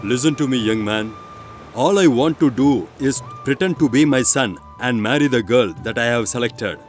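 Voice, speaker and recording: A man's voice: medium pitch, moderate pace, soft background music, lightly acted. An actor playing a scheming, persuasive father in a moral story, speaking in a formal, insistent way. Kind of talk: animated storybook acting.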